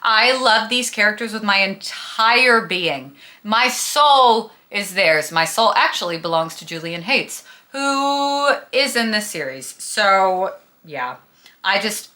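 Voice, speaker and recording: A young woman book vlogger with a very bright, forward voice and a slight lisp. She talks casually and gushes with dramatic emphasis, drawing out the words she wants to stress. Her pitch sits in an ordinary middle range for a woman. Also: expressive, unhurried. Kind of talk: casual, chatty book review to camera.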